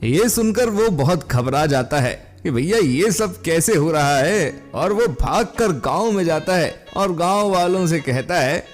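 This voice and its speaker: A man with a high voice narrates a children's cartoon story. He takes his time over the lines, with faint music underneath. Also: expressive, measured pace, hardly any pauses.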